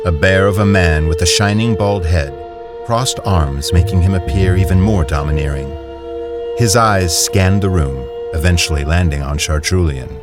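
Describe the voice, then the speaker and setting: A man narrating in a measured, somber storytelling manner. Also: low-pitched, loud music behind the voice. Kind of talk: scripted narration.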